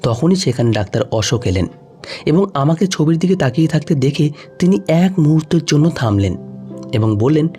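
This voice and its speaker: Mellow man narrating, slightly high voice. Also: animated, moderate pace. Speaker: audio story narrator. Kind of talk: scripted emotional story narration. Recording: music under the voice. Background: background music.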